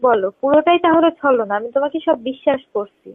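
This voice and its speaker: A woman's voice: high-pitched, lively intonation, fast talker. A woman in a confrontational phone conversation, speaking insistently and pressing with repeated questions. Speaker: caller. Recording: tinny narrowband phone call recording.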